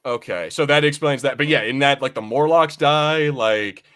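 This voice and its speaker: A man's voice: medium pitch, normal speed, a bit bright. A man co-hosting a podcast in casual fan review chat, rambling and swearing freely. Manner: profane, rambling. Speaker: podcast co-host.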